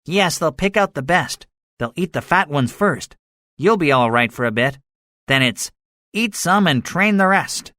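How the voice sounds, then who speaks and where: A man's voice: fairly high pitch, animated, measured pace, bright, forceful tone, no echo, clean studio sound, pitched above the performer's own voice. A man voicing a cynical, boastful soldier survivor in a dramatized story, speaking emphatically with a swaggering manner. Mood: gloating, grim. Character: cynical, boastful male soldier, excitable survivor with grand schemes. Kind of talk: dramatized audiobook dialogue.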